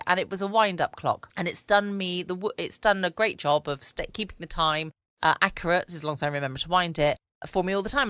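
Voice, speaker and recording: Podcast host: southern English woman, lowish voice, relaxed bedtime chat, very dry sound. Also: no room sound. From United Kingdom. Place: at home, quiet room.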